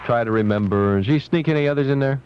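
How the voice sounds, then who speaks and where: An American man working as a radio DJ, playful and chatty, ad-libbing through lively patter and ad reads. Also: medium pitch, quick pace, clear, resonant tone. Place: radio studio. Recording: dull lo-fi 1970s AM radio aircheck.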